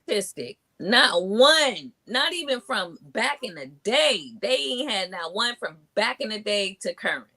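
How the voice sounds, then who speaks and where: A woman's voice: medium pitch, very animated, a little slow, few pauses, bright, forceful tone. Exasperated woman losing her voice. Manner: exasperated, emphatic.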